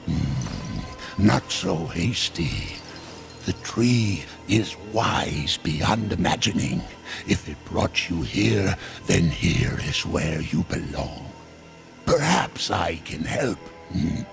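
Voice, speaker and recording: A man's voice: low-pitched, very animated, very slow, vocal fry, faint music behind, heavily acted. A man playing a sly, ancient otherworldly merchant in a video game, unctuous and theatrical in manner. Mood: sly, coaxing. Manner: unctuous, theatrical, amused, chuckling. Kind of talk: video game character acting.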